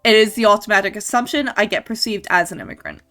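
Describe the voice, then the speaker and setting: A woman's voice: mid-range voice, fast talker, a bit bright. A young woman with a mixed, mostly Canadian-sounding accent, chatting casually on a stream with lots of ums, restarts and laughs. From Scotland. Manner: rambling, many ums and restarts, laughs often. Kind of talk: casual chat while drawing on stream. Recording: faint music behind.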